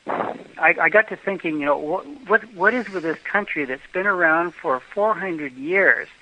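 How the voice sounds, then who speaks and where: A man's voice: fairly high pitch, bright, forceful tone. An older American man telling travel stories in a casual interview, rambling with many fillers and restarts. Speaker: travel guest. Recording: dull lo-fi podcast audio.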